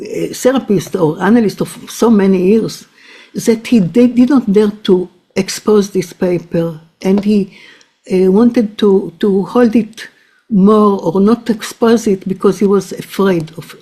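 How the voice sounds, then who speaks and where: A woman psychoanalyst giving a halting academic talk, full of restarts and fillers. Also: mid-range voice, warm tone, breathy edge. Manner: hesitant, many restarts and fillers. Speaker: psychoanalyst presenting a paper.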